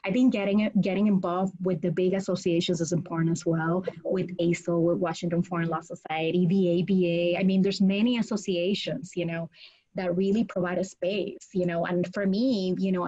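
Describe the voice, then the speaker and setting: A woman's voice: fairly low pitch, normal speed. A woman from Puerto Rico whose first language is not English, speaking earnestly as a guest on a video call from a home office. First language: Spanish. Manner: earnest, animated, gestures a lot with her hands while talking. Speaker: law school dean, guest. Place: home office with bookshelves, indoors. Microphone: video call, webcam. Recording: dull lo-fi webcam audio.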